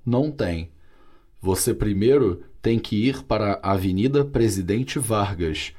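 A man with a Brazilian Portuguese accent reading vocabulary and dialogues for a language course, clear and carefully articulated. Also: lowish voice, lively intonation, a little slow, a little mellow. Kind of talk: slow scripted language-lesson reading.

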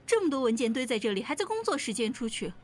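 A woman playing a bossy senior office colleague in an audio drama, sharp-tongued and impatient. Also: a little high, very animated, quick pace, slight background noise. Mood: scolding. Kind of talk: modern audio drama acting. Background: faint music.

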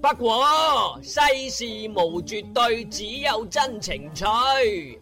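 Guy narrating a comedy radio play, playful and chatty, high bright voice, music under. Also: big pitch swings, slow pace. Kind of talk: comic storytelling narration.

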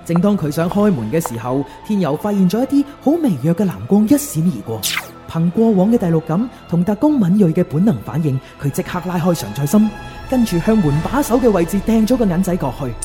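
Woman narrator, dramatic storytelling, widely varied intonation, clear music underneath. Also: fairly low pitch, very animated, a little fast, warm tone.

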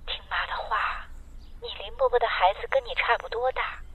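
A woman's voice: fairly high pitch, fairly quick, fairly bright tone, close to an everyday voice. A woman playing a worried mother admonishing and pleading with her grown daughter in an audio drama. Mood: nagging, anxious. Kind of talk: Chinese amateur audio drama acting. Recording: dull telephone-like sound with faint noise.

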